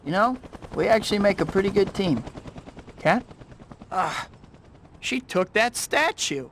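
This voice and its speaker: A man's voice: sits high, expressive, unhurried, fairly bright tone, a little hiss or hum, heavily acted. A man playing a young, good-natured hero in a video game, boyish, sarcastic and self-mocking. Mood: sheepish, wry.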